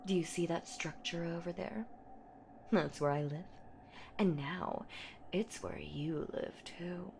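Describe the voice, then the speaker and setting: A woman's voice: fairly low pitch, animated, a little mellow, slight background noise, exaggerated voice. A woman voicing an ancient female boogeywoman in a horror roleplay audio drama, predatory and possessive, with teasing, menacing delivery. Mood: possessive, sweetly menacing. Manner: slow, teasing, menacing, breathy whispers and drawn-out words.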